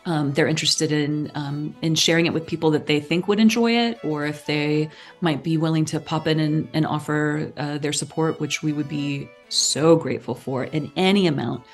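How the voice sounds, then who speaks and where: A woman's voice: deep voice, moderate pace, soft background music. A woman speaking as a podcast guest in a casual, conversational style. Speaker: podcast guest, theatre artistic director.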